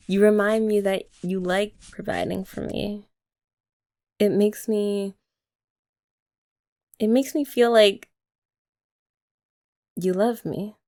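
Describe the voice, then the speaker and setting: A woman as a giggly girlfriend with vocal fry. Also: mid-range voice, darkish tone. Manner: chatty, casual, with filler "like" and giggles. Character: playful affectionate young girlfriend. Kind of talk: ASMR roleplay.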